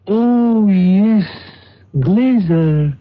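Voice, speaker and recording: An actor playing a suave impostor who poses as a courteous, solicitous sheikh in an old-time radio drama. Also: male voice, high-pitched, lively intonation, very slow, narrow, tinny audio, exaggerated voice. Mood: gently dismayed. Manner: solicitous, hesitant, formally polite.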